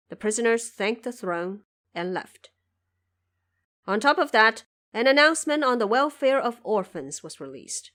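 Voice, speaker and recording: A woman narrates an audio drama as a storyteller. Her voice sits at a medium pitch, and her delivery is scripted, measured and formal.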